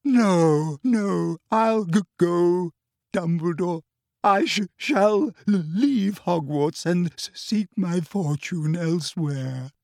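A man's voice: high-pitched, slow pace, vocal fry, a little mellow, no room sound, clean studio sound, voice pushed up, exaggerated voice. A man voicing a tearful, trembling female seer who stammers through her sobs. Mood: distraught. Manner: stammering, sobbing, wild. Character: tearful trembling middle-aged witch seer.

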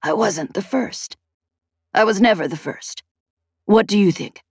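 Audiobook role: insistent male inventor, late fifties, stiff odd accent, halting delivery female narrator performing. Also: mid-range voice, lively intonation, no room sound, studio quality, natural voice. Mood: soft, wistful. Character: emotional man in his late fifties, searching inventor.

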